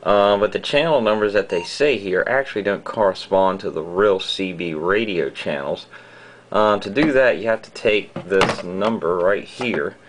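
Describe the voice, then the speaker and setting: Low-voiced man giving a halting hobby demonstration. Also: fairly bright tone, slight background noise, rough recording. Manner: halting, methodical explaining with frequent pauses. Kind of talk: casual unscripted how-to demonstration.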